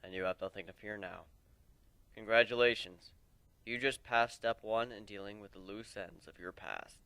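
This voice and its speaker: A man voicing a brooding, world-weary male warrior who speaks gravely and with measure in a story reading. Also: lowish voice, steady tone, moderate pace, bright, forceful tone, dry recording, voice pushed down. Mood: calm advice.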